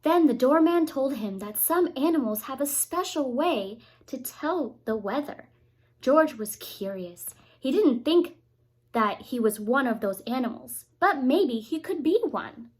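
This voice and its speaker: A woman's voice: high voice, expressive, unhurried. A woman teacher reading a picture book aloud to young children, expressively and with little explanatory asides.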